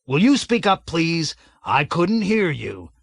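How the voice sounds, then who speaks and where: A man voicing a bloated, blustering army colonel who roars and hectors in a raised, loud voice. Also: on the high side, big pitch swings, slow, vocal fry, slightly rough, dry recording, studio quality, pitched above the performer's own voice, heavily acted. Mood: bellowing, bullying. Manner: roaring, hectoring, sarcastic. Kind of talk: audiobook character voice.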